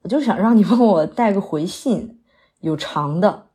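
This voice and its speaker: 一位女演员在广播剧里饰演刚下戏、略显疲惫的戏曲女演员，语气客气口语化，偶尔迟疑。. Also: female voice, medium pitch, expressive, normal speed, dark tone, close to an everyday voice. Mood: persuasive, friendly. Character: polite, weary female opera performer after a late show. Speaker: stage actress in an audio drama. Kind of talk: naturalistic modern audio drama dialogue.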